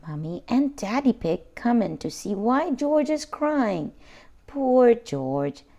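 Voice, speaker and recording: Slow, expressive woman reading to children. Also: a little high, lo-fi sound. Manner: expressive, deliberate. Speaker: read-aloud channel host. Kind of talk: children's storybook narration.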